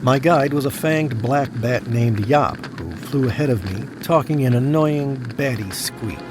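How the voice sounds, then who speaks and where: An actor playing a smug, stubborn dead business tycoon in an audio drama, with a wry, self-satisfied manner. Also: male voice, mid-range voice, unhurried, over music, close to an everyday voice. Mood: wry storytelling. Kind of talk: first-person audio drama narration and dialogue. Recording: noisy background ambience, sometimes echoey.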